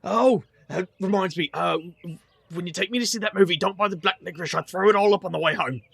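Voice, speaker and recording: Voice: mid-range voice, quick pace, creaky, a bit bright, breathy edge, no room sound. An actor playing an earnest, grieving young magician son with a wry, determined streak in an audio drama. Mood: wry, hurried.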